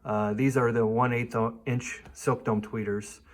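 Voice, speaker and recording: A man's voice: medium pitch, flat delivery, unhurried, a little hiss or hum. A man giving a casual, off-the-cuff video walkthrough, hesitant and correcting himself as he thinks aloud. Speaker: host of a DIY audio repair channel.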